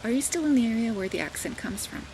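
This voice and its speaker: A woman's voice: fairly high pitch, animated, quick pace. An older woman from Canada with a Southern Ontario accent, chatting casually to camera as a vlogger and teacher, rambling with many fillers and asides. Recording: home recording with clear background noise.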